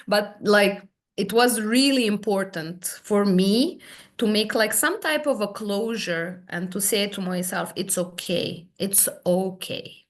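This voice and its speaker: Woman sharing her story haltingly. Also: mid-range voice. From Serbia. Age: 30s. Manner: halting, searching for words, many restarts. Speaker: hypnotherapy client guest. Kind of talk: personal testimony in conversation.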